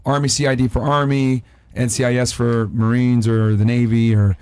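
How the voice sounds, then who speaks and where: A man hosting a casual podcast interview, asking blunt rapid questions and answering with frequent okays. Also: medium pitch, level tone, a little mellow, smooth, no room sound. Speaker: podcast host.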